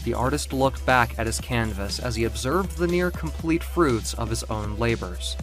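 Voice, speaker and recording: A man's voice: mid-range voice, moderate pace, faint music behind. A man reading scripted, dramatic fiction as the narrator of an audio story.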